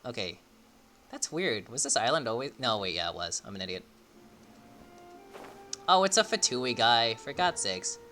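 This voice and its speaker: A man's voice: mid-range voice, big pitch swings, moderate pace, pauses often, pushed and bright, soft background music. A young man giving casual live gameplay commentary, tossing out offhand asides to himself as he plays. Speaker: game streamer.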